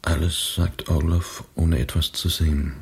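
Grim, very breathy deep-voiced man. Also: flat delivery, a little slow, heavily acted. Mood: reluctant, somber. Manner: terse, heavy, reluctant, often near whisper. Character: grim, reserved male revolutionary, bitter and laconic. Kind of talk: dramatic radio play acting.

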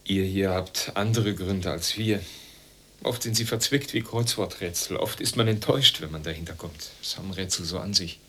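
Wry guarded east german man, low raspy voice, dry and detached, radio drama. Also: normal speed, pushed and bright, full character voice.

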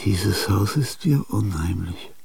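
Gravelly ailing old genius. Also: male voice, deep voice, animated, takes it slowly, darkish tone, exaggerated voice. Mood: uneasy. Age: older. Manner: confiding, with sudden outbursts and whispers. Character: ailing aging male genius, restless and grandiose. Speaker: actor in radio drama. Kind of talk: theatrical radio drama monologue.

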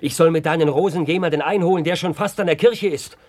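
An actor playing an impetuous, lovestruck young gentleman in a German radio drama, theatrical and excitable. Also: a little high, quick pace, natural voice. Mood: eager haste. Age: young adult. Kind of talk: German radio play acting.

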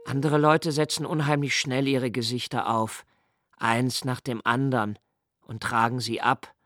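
An actor playing an anxious, brooding young writer in a literary radio drama monologue, intense and deliberate in delivery. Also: male voice, medium pitch, fairly bright tone, no room sound, clean studio sound. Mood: musing, wry. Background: music.

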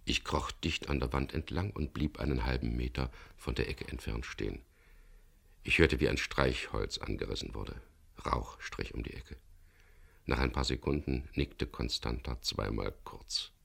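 An actor playing a resolute, daring hero who also narrates his story in a gravelly voice in a radio crime drama. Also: rumbling low, moderate pace, fairly bright tone, full character voice. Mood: measured narration.

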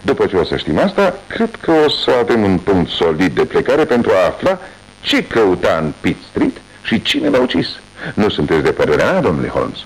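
An eager, baffled police inspector with a deep voice that swings wildly up and down. He talks emphatically, in the style of a 1980s radio play. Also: huge pitch swings, slightly rough, full character voice, low-quality recording. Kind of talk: 1980s radio drama acting.

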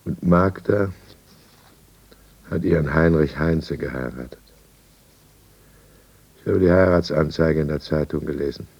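Very deep, gravelly broken man. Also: darkish tone, full character voice, poor audio. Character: broken, bitter middle-aged alcoholic businessman confined in asylum. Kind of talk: 1950s German radio drama, first-person narration. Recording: 1950s radio studio recording.

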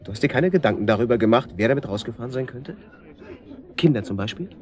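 A man's voice: mid-range voice, expressive, fast talker, natural voice. An actor in a German radio drama playing an agitated, blunt man who speaks with urgent insistence. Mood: bitter, accusing. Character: agitated, blunt man searching for his missing boat.

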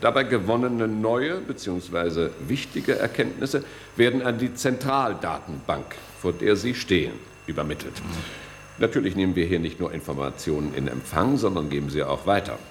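A lowish, animated voice of an officious government guide in a radio play. He lectures proudly and formally over faint background noise. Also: moderate pace. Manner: formal, explanatory, bureaucratic. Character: proud, officious male control room tour guide. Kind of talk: German radio play acting.

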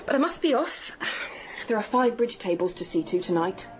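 An actress playing a brisk, forceful young working woman in a radio drama. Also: medium pitch, sing-song, music in the background, natural voice, poor audio.

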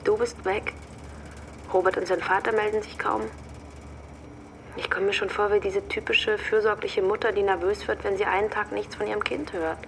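A woman's voice: medium pitch, flat delivery, moderate pace, creaky, a little hiss or hum, lightly acted. An actress playing a pensive, lonely working mother who talks hesitantly and thoughtfully in a naturalistic radio drama. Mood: lonely, weary.

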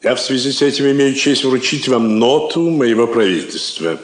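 An actor playing a pompous, formal diplomat with a ceremonious, officious delivery, in stage-style radio drama. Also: male voice, medium pitch, animated, normal speed, natural voice. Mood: officious, grave. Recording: echoey old studio drama recording.

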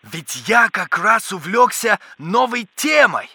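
Excitable bookish scientist, high and bright. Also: male voice, slow pace, gravelly, breathy edge, no room sound, exaggerated voice. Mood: eager, pedantic. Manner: theatrical, pedantic, exclamatory. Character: excitable, bookish male scientist, absorbed in microbes. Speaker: scientist character. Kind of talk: children's educational audio drama.

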